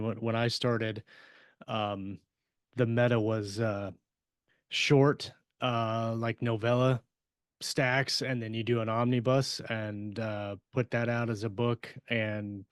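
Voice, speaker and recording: A man co-hosting a podcast, chatting casually in halting, repeating phrases. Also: medium pitch, clean tone, no room sound, studio quality. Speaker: podcast co-host, author. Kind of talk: casual chat.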